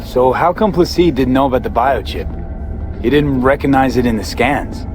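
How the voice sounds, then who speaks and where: An actor playing a wary, streetwise mercenary in a video game, talking in blunt, sardonic slang with an American accent. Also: male voice, medium pitch, moderate pace, over music, close to an everyday voice. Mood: curious, dry. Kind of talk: video game dramatic acting.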